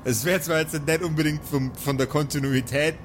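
A man with a fairly high voice acts as game master, narrating an improvised tabletop adventure. He keeps it playful and colloquial, with clear music playing behind him. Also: fairly even intonation, normal speed.

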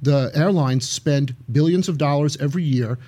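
A man's voice: a little high, moderate pace, mellow, breathy edge. A man co-hosting a radio show, cranky and sardonic, who delivers his jokes in a mock-serious tone. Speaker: co-host, TV producer.

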